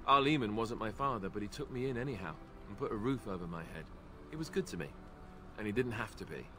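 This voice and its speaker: A man's voice: on the low side, animated, fast talker, lightly acted. A man voicing a wry, self-deprecating young warrior in a video game, talking in a rambling, joking manner. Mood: reflective, regretful. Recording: faint background noise.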